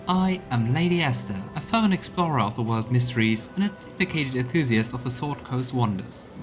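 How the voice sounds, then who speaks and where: A man's voice: on the high side, voice pushed up, close to an everyday voice. A man voicing an effusive, well-bred lady explorer reading out her flowery, formal letter in a game. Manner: formal, florid, theatrical.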